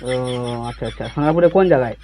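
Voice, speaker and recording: A man's voice: medium pitch, fast, warm tone. A man with a West Bengali accent making a casual prank phone call, teasing and persistently asking questions. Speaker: prank caller. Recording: phone call with faint noise.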